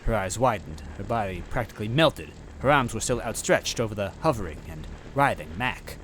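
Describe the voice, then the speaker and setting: Deep, animated male storyteller. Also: a little fast, a little hiss or hum. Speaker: narrator reading a story aloud. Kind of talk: dramatic first-person story narration.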